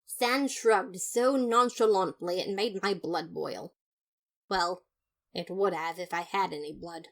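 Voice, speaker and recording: A woman's voice: fairly low pitch, slow pace. A woman reading first-person fan fiction as a dramatized, emphatic narration. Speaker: podfic reader.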